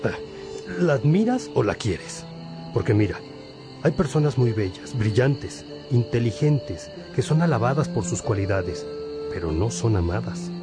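An actor playing a wise, patient priest and teacher in a religious radio drama, gently questioning and instructing. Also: male voice, mid-range voice, very animated, unhurried. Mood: probing, earnest. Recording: music behind the voice.

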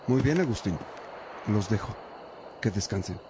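An actor playing a dutiful priest, courteous and deferential, in a religious radio drama with ambient noise. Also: male voice, fairly low pitch, animated, measured pace. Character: Heraclio, dutiful male priest assisting his bishop. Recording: clear ambient noise behind.

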